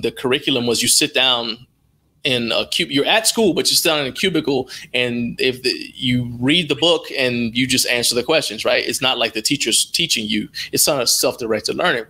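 A man's voice: mid-range voice, gravelly, bright, forceful tone. A middle-aged American man telling anecdotes casually as an interview guest on a video call, acting out bits of dialogue as he goes. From born in Liberia, moved to the United States as a child. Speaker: interview guest, strength athlete and live streamer. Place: indoor room, video call.

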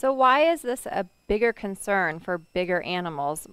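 A woman's voice: medium pitch, lively intonation, normal speed, fairly bright tone, clear, resonant tone, no echo. A young woman hosting an educational program, upbeat and asking guided questions into a headset mic in a studio. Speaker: host. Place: studio set.

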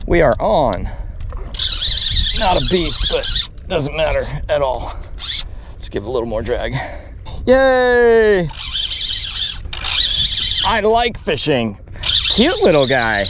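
Excitable chatty man fishing from a boat. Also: fairly high pitch, takes it slowly, pauses often, background noise. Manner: excitable, chatty, self-deprecating. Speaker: fly fishing vlogger. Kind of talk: casual on-camera fishing narration. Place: small open boat on the water outdoors. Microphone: action camera on the boat.

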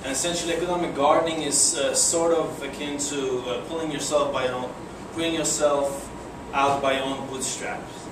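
A man's voice: mid-range voice, fairly even intonation, moderate pace, few pauses. A young man with a Polish accent giving a casual presentation at a breakfast meeting, hesitant, with many fillers and restarts. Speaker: guest speaker. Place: conference room, speaking to a seated audience. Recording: echoey room, far from the mic, air conditioning hum.

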